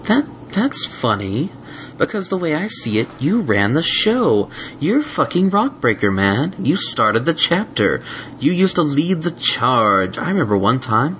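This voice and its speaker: Cruel sneering unicorn bully, read by a man, pitched up, drawling taunts. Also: very animated, a little slow, a bit bright, a little hiss or hum. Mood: mocking.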